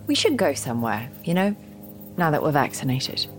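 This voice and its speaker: A woman's voice: sits low, moderate pace, a little hiss or hum. A woman playing a restless, wry partner bantering at home in a naturalistic audio drama. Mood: wry, playful. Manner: wry, animated, complaining.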